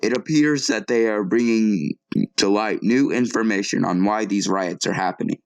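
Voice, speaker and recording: An earnest male news anchor, played by an actor delivers an evening bulletin in a low-pitched voice. He stays composed while sounding somewhat shaken, slipping into conversational, hesitant commentary. The sound is close and dry. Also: moderate pace, gravelly, no echo, poor audio. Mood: serious, puzzled. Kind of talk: acted local TV newscast.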